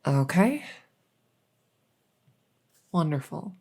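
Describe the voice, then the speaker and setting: A woman playing a polite, hesitant, matter-of-fact night vet in an ASMR audio roleplay, with a creaky voice. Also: deep voice, sing-song, very slow, warm tone, exaggerated voice. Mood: professional, reassuring. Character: soft-spoken, polite night vet receiving a supernatural patient.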